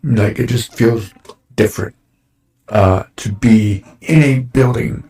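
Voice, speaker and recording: A man in his forties, hosting a casual chat show, his voice a little raspy from dust, rambling along with lots of fillers. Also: lowish voice, slow, dark tone. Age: 40s. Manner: rambling, with many fillers and restarts. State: slightly raspy from breathing dust. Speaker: show host. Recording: roomy echo.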